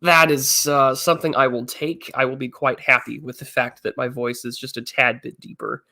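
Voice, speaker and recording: A man's voice: medium pitch, fairly even intonation, moderate pace, gravelly, bright, forceful tone. A man in his twenties on a casual livestream, chatting and answering viewers, still a little congested. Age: 20s. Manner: chatty, reads chat messages aloud. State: slightly congested. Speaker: streamer.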